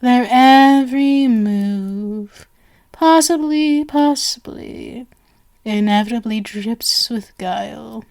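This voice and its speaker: A woman's voice: fairly high pitch, takes it slowly, darkish tone. A woman playing a sinister stage magician in an ASMR roleplay, with a genial, theatrical, flowery manner that coaxes and drifts toward whispers. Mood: sly, guarded.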